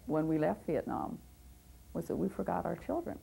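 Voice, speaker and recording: A woman's voice: on the low side, normal speed, vocal fry, dark tone, breathy edge, rough recording. A woman advocate and teacher speaking earnestly and with passion in a documentary interview, sometimes restarting her sentences.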